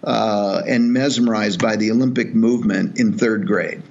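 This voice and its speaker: A man's voice: on the low side, steady tone, moderate pace, vocal fry, darkish tone, muffled recording, slight background noise. A middle-aged man from El Salvador, a guest telling his story in an earnest, reflective way with many fillers and restarts. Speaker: podcast guest, charity executive. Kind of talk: relaxed storytelling interview.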